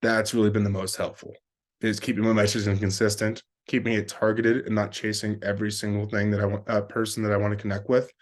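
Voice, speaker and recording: A man's voice: lowish voice, little pitch movement, fast talker. A man giving an informal spoken review as a sales professional, earnest and rambling with plenty of business jargon.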